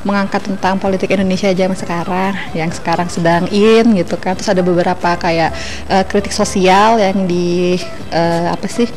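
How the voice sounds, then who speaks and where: A woman's voice: on the low side, steady tone, moderate pace, music in the background. A woman visitor answering interview questions casually, hesitating and searching for words. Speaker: exhibition visitor.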